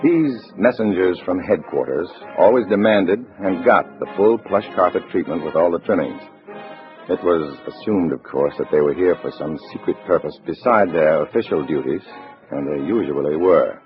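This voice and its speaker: An actor playing a wary undercover agent in a 1950s radio drama, with an American accent and a measured, clipped, hard-boiled delivery. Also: male voice, deep voice, animated. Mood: grim, tense. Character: wary male undercover agent posing as loyal party comrade. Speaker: narrator and lead actor. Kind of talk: 1950s radio drama acting, first-person narration. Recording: muffled vintage radio recording with music.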